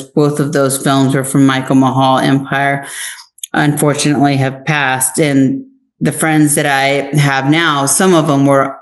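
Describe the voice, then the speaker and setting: A woman speaking as an interview guest in a casual, chatty way, telling anecdotes conversationally. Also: low voice. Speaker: interview guest, actress and writer.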